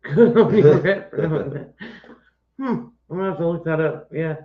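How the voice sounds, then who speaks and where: A man with an Appalachian accent co-hosting a livestream, playful and quick to laugh. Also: on the high side. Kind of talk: casual livestream chat. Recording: echoey room, dull lo-fi sound.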